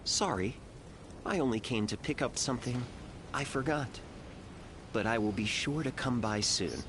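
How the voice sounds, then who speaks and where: An actor playing a suave, secretive, playfully indulgent general in a video game, with halting, teasing phrasing. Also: male voice, mid-range voice, animated, background noise, lightly acted. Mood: furtive. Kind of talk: scripted video game dialogue. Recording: very loud music behind the voice.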